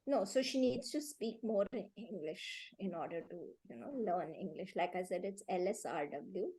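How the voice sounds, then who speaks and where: A middle-aged woman with an Indian accent gives conversational advice in a live online class, speaking in an emphatic, animated and opinionated way. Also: mid-range voice, moderate pace, slightly rough, poor audio. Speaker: reading teacher and parenting expert. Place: online video call.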